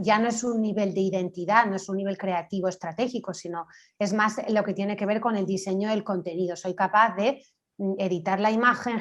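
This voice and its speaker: Woman, average pitch, playful, teasing, casual panel teacher. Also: dull audio. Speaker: storytelling course teacher and panelist.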